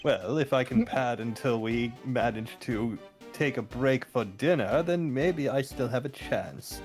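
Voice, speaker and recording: A man's voice: medium pitch, darkish tone, lightly acted. A man voicing a smug, disdainful courtroom opponent in an amateur dub, with a drawling, mocking, florid delivery. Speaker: amateur voice actor in a fan dub. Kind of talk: scripted courtroom drama read.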